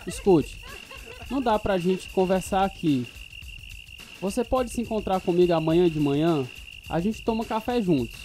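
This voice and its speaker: A troubled, fearful man tells the story of his youth as dramatized radio narration. He is earnest and emotive, with plucked guitar music clearly behind the voice. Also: sits high, expressive, moderate pace, mellow. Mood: defiant.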